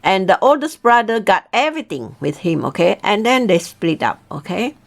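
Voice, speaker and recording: A woman's voice: mid-range voice, very animated, moderate pace, gravelly. A woman teacher telling a story informally to students, often checking in with her listeners.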